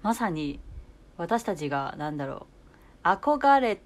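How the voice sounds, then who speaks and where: A woman's voice: fairly low pitch, sing-song, measured pace. A young woman chatting casually as a guest on a podcast, playful and given to chuckling. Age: young adult. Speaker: podcast guest, voice-acting student. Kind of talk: casual after-show chat.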